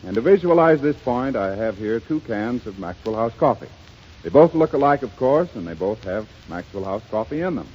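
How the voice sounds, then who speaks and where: An American man working as a radio announcer, delivering a formal, emphatic 1930s sponsor pitch. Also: expressive, normal speed, slightly rough. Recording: lo-fi 1930s radio, faint noise.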